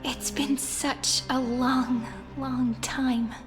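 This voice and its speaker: A woman voicing a gentle, wistful young storyteller in a game, speaking softly and deliberately. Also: sits high, flat delivery, over music. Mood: tender. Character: gentle, wistful young female storyteller welcoming an old friend. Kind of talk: game voice acting.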